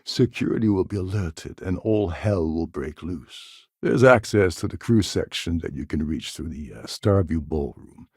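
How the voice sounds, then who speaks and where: An actor voicing a cynical, scheming space pirate pilot in a video game, with a gravelly, husky voice and a wry delivery. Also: male voice, deep voice, lively intonation, normal speed, few pauses, a little mellow, no echo, clean studio sound, full character voice. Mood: serious, briefing. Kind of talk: video game character dialogue.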